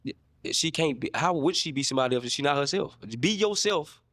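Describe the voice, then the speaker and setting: A man's voice: on the high side, expressive, fairly quick, a bit bright, no echo, clean studio sound. A man in his twenties, a dating show contestant explaining himself earnestly and insistently. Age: 20s.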